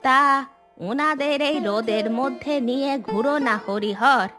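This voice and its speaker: A frail old village granny from a cartoon, played by a woman. She is caring and speaks slowly, with music playing behind her. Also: high voice. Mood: sorrowful, concerned. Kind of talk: cartoon voice acting.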